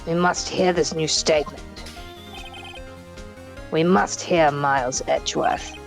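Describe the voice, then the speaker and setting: A woman's voice: low-pitched, steady tone, slow, gravelly, faint music behind, exaggerated voice. A woman voicing a stern, contemptuous old male prosecutor with a haughty manner in a live fan dub of a game. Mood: commanding.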